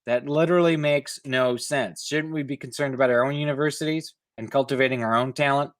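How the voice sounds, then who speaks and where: A man's voice: mid-range voice, steady tone, brisk, no room sound, studio quality. A man reading news articles aloud and breaking off into skeptical, opinionated asides of his own. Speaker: host reading news stories with commentary.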